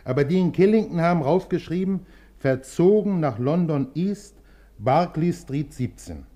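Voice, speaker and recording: A man's voice: fairly high pitch, expressive, normal speed, mellow, close to an everyday voice. An actor playing a plain-spoken, persistent postman in a German radio play, colloquial and matter-of-fact. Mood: insistent.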